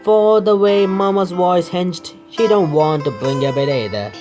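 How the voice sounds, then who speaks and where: A man doing a game playthrough, reading the narration aloud haltingly and adding his own asides. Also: high-pitched. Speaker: gaming video creator. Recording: music behind the voice.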